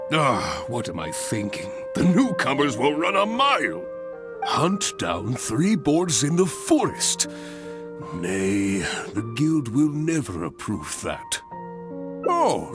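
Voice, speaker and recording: Casting: middle-aged fantasy game guild boss, hearty and theatrical, hugely animated, unhurried, over prominent music. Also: male voice, mid-range voice. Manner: theatrical, grumbling, exclamatory. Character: hearty, blustering middle-aged guild branch master, male. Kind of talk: fantasy video game voice acting.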